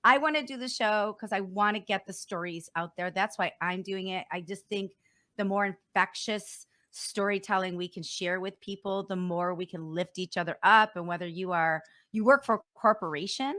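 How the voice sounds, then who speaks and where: A woman co-hosting a live-streamed business show, speaking in a bright, forceful voice. She talks in a chatty, eager way, full of casual fillers, as she introduces guests and shares her reasons for the show. The sound comes over a video call. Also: medium pitch, normal speed. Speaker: co-host. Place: San Diego.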